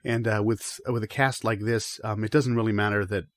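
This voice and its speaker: A man playing an earnest, pretentious stage performer in a deadpan improvised interview, hesitant and rambling. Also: lowish voice, normal speed, no echo, lightly acted. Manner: hesitant, rambling, self-serious. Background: guitar music.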